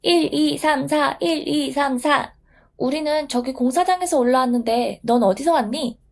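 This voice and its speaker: A woman voicing a group of tiny, cheeky specks of dust that chatter and bicker in high squeaky voices. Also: moderate pace. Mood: giggly.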